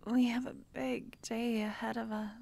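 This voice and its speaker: A woman playing a young female court necromancer who is tenderly affectionate and playful with her lover, speaking softly and often in a whisper for an ASMR roleplay. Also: a little high, flat delivery, normal speed, creaky, slight background noise. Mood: tender, teasing. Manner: soft, intimate, often whispered.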